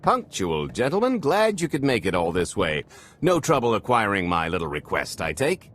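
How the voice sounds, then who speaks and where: A man's voice: lowish voice, huge pitch swings, moderate pace, a bit bright, full character voice. A man voicing a cocky, wisecracking smuggler in a video game, glib, sly and sardonic. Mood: breezy, glib.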